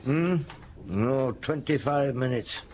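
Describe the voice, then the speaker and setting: An actor playing a thoughtful, courteous chief inspector in an audio drama, musing hesitantly. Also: male voice, mid-range voice, takes it slowly, background noise, natural voice. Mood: musing, doubtful. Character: weary, thoughtful male police chief inspector, courteous and doubtful. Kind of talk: radio drama acting.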